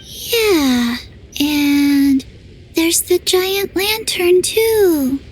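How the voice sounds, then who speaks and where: A slow, dazed little girl. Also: very high-pitched, faint music behind, heavily acted, low-quality recording. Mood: dreamy. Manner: slow, flat, halting delivery. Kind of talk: game voice acting.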